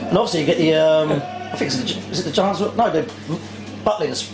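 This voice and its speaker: A man with a high, quick voice interviews a guest, friendly and casual, chiming in with short agreements. The room echoes and music plays clearly behind him.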